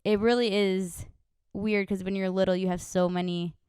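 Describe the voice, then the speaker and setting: A woman's voice: mid-range voice, flat delivery, moderate pace, darkish tone, clear, resonant tone, no room sound, clean studio sound. A woman in her twenties co-hosting a casual podcast, talking in a bubbly, chatty way with lots of "like". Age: 20s. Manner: bubbly, chatty, many "like" fillers. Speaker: podcast co-host. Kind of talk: casual chat.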